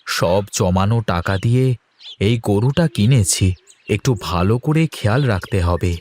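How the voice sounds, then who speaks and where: An actor playing a confident, easygoing village husband in a cartoon folktale. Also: male voice, fairly low pitch, animated, normal speed, darkish tone, a little hiss or hum, natural voice. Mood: content. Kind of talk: Bengali cartoon folktale dialogue.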